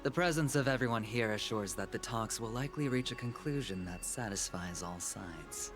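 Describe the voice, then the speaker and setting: Suave, lively negotiator played by a man. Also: lowish voice, moderate pace, natural voice. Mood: suave, gracious. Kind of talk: scripted video game dialogue. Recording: music mixed under the voice.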